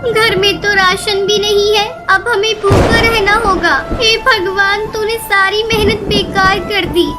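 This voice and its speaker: A woman voicing an anxious, caring bird wife in an expressive, earnest Hindi children's cartoon style. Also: very high-pitched, unhurried. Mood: distraught, lamenting.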